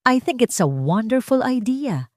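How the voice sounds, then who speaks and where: A woman's voice: a little high, sing-song, a little slow, a little mellow, no echo, studio quality, voice pushed up. A woman voicing an elegant, scheming fiancée in an audio drama, honeyed and falsely sweet. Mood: falsely cheerful.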